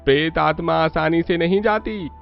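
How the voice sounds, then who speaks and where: Creaky-voiced greedy priest, played by a man. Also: fairly high pitch, normal speed. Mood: grave, demanding. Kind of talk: cartoon story dialogue. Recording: muffled audio with background music.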